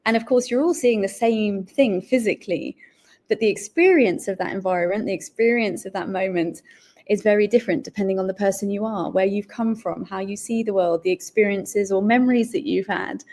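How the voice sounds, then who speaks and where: A woman's voice: mid-range voice, fairly quick, a little mellow. Articulate woman explaining on a podcast. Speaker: guest, author. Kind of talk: podcast interview. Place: Malaysia.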